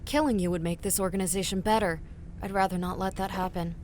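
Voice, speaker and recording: A woman's voice: mid-range voice, steady tone, darkish tone, slight background noise, close to an everyday voice. A woman voicing a cold, smug spy leader who threatens her captors in an audio drama. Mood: mocking. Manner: smug, menacing, measured.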